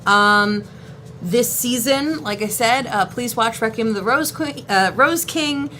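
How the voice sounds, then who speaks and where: A woman's voice: a little high, a little slow, bright, forceful tone, a little hiss or hum. A woman from New York, a voice actress, chatting in a friendly, upbeat way during a signing stream. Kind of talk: casual chat.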